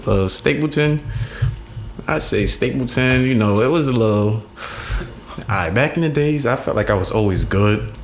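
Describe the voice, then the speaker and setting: A young man with a New York accent, a podcast guest telling stories in a relaxed, slangy way. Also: medium pitch, moderate pace, slight background noise. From Staten Island, New York. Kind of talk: casual interview chat.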